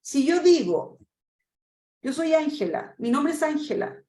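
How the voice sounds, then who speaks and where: A Chilean woman in her fifties giving a webinar lesson in a quick, animated way, acting out short dialogues and asking rhetorical questions. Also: high-pitched. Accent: Chilean Spanish. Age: 50s. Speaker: trainer. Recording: echoey room with faint noise.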